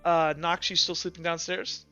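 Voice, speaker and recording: Male game master, higher-set clear voice, informal improvised narration over music, slightly muffled. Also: level tone, sharp tone. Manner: halting, informal.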